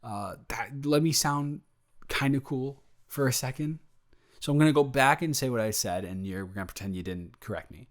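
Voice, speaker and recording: A man playing a smitten, playful young roommate in an improvised romantic audio roleplay, talking in a halting, self-correcting, jokey ramble. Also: medium pitch, lightly acted. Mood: smitten, flustered.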